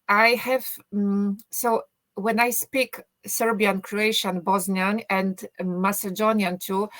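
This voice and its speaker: A woman's voice: mid-range voice, a bit bright. Woman, chatty and hesitant. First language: Polish. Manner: thinks aloud with many fillers and restarts, joking. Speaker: guest, university teacher. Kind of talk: casual conversational English, non-native.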